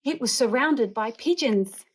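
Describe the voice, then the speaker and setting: A woman reading a picture book aloud to children in an expressive, animated storytelling way. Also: on the high side, a little slow, breathy edge, low-quality recording. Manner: animated, acts out characters and animal noises.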